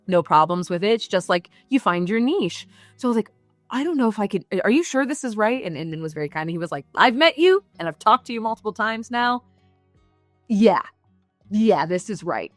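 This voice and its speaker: Gushing, animated woman in a dry room. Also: mid-range voice, no room sound, soft background music. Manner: animated, gushing, many fillers and quick asides. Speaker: voice actor guest. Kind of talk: casual interview chat.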